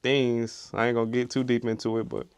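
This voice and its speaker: A man's voice: mid-range voice, level tone, a little fast, creaky, smooth, no room sound. A man guesting on a casual podcast, laid-back and reflective, often saying "man". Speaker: guest, rapper. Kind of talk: casual conversational interview.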